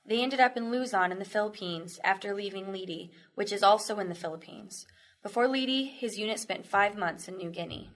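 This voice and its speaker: A woman's voice: medium pitch, normal speed. A woman reading scripted documentary narration for an amateur radio production.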